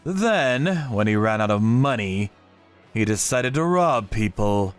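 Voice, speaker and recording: Slow, grave male narrator. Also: fairly low pitch, expressive. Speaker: narrator of a manga story, telling it in first person as a vigilante character. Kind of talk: scripted dramatic narration. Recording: music behind the voice.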